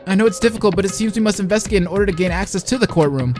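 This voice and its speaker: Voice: mid-range voice, racing, a little mellow, faint music behind, voice pushed up, lightly acted. A person voicing an earnest young woman investigator in a mystery game, serious and pleading. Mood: urgent pleading.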